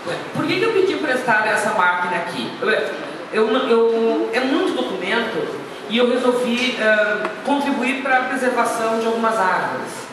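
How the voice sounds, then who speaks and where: A woman's voice: on the high side, animated, normal speed. Gaúcha woman lecturing in an echoey hall. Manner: chatty, anecdotal, with self-deprecating asides. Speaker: guest speaker. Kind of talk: informal lecture. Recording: echoey hall, speaker far from the mic, noisy.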